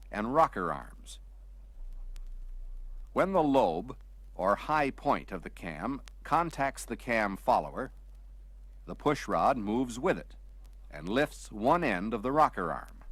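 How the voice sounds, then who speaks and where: A man narrates an old training film in a mid-pitched voice with a lively, varied melody. He explains precisely and methodically, reading from a script. The sound is a dry, close studio voice-over on a vintage soundtrack. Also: dry recording. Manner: measured, precise explanatory delivery.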